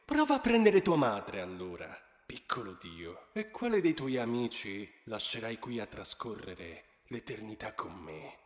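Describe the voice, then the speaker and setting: A man voicing a scornful, menacing male god of the underworld in slow, low tones, with a heavy reverb effect on his voice. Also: sing-song. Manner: slow, low, threatening delivery. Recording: cavernous echoing reverb effect.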